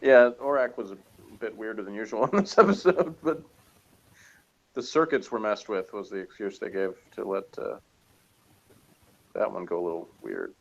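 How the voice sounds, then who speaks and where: A man's voice: fairly low pitch, normal speed, gravelly, long pauses, muffled recording. A man reviewing a TV episode in casual, rambling, jokey chat, often chuckling. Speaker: reviewer on a TV show review channel.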